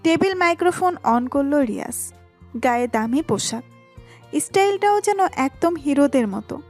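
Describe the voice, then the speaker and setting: A woman with a high voice narrates a romantic audio story. Her delivery is scripted storytelling, set over a light bed of music. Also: lively intonation, moderate pace, darkish tone.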